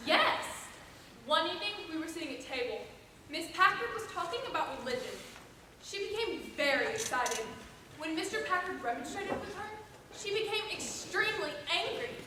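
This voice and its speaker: A woman's voice: very high-pitched, sing-song, normal speed, sharp tone, full character voice. A woman acting a prim, indignant sister-in-law giving formal, precise testimony in a stage play. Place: auditorium stage, recorded from far off. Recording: echoey stage, distant, clear hiss.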